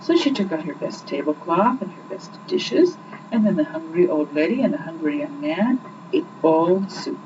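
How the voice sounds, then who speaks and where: A young woman reading children's stories aloud in an expressive, rhythmic storytelling style, on an old muffled home recording. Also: low-pitched, normal speed. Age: young adult. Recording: muffled, noisy 1980s home recording, distant mic.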